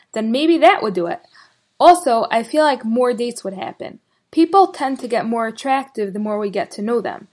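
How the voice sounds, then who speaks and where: Upbeat woman hosting, higher voice. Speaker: podcast host. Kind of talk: hosting, reading listener feedback.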